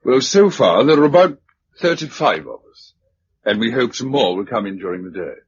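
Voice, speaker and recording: An actor playing an affable British leader of survivors in a radio drama, brisk, chatty and courteous. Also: male voice, mid-range voice, sing-song, close to an everyday voice. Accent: British English. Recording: muffled radio drama recording, faint noise.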